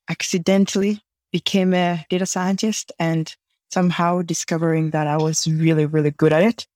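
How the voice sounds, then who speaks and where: A man from Tanzania, a podcast guest chatting casually and haltingly. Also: a little high, darkish tone, no echo. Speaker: podcast guest, data scientist. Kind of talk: casual chat.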